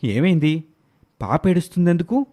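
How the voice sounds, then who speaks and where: A man voicing an earnest, protective young father in an animated moral story. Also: a little high, normal speed. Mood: concerned. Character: protective, soft-hearted young father and husband.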